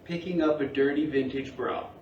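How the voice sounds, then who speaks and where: A man with a fairly high voice reads stage directions in short scripted phrases. He is some distance from the mic in a very roomy space. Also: steady tone, moderate pace, highs cut off. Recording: distant mic in echoey room, faint hiss.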